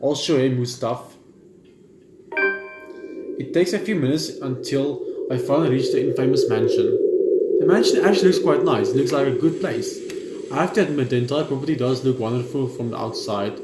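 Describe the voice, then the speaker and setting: A young man hosting a gaming video, reading game text aloud haltingly with casual commentary. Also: mid-range voice. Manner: halting when reading, stumbles over words. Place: indoor room at home. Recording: roomy echo, noticeable background noise.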